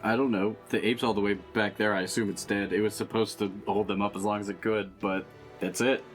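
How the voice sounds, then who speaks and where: A man's voice: lowish voice, flat delivery, a little fast, soft background music. A man playing a tabletop game, chatting casually and bluntly with some swearing.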